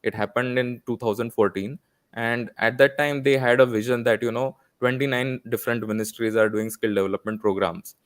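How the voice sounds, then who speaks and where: A man's voice: mid-range voice, fairly even intonation, brisk, no room sound. A man with an Indian accent speaking as a panel guest, formal and wordy, in an earnest discussion on an online call. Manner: formal, wordy, long-winded phrasing.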